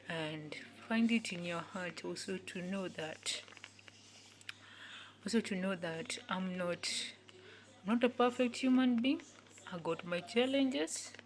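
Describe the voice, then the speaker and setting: A young woman in her twenties speaks slowly and earnestly, saying each phrase again and again as if pleading with her listeners. Her voice sits in a medium range, and now and then it sinks to a hushed whisper. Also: mid-range voice, rough recording. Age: 20s. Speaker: youth speaker. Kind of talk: repetitive motivational talk.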